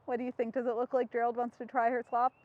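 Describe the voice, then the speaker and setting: A woman's voice: on the high side, monotone, fast talker, dry recording, narrow, tinny audio. A woman hosting an interactive storytime for young children, asking simple questions and answering them.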